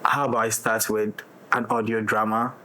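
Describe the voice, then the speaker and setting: A man's voice: fairly low pitch, flat delivery, moderate pace, bright, forceful tone, a little hiss or hum. A man talking reflectively as a director in a discussion of creative work, in short broken phrases. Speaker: director of an audio drama.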